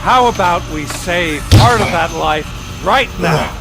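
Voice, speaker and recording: A man's voice: high-pitched, animated, measured pace, loud music behind the voice. An actor playing a scheming, threatening male villain in a video game, mocking and menacing. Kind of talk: video game cutscene acting.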